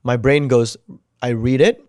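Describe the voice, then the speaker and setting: A man whose first language is Indonesian, an actor chatting as a guest, animated and acting out quoted remarks with lots of "like" fillers. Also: medium pitch, measured pace, no room sound, clean studio sound. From United States. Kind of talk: casual interview chat.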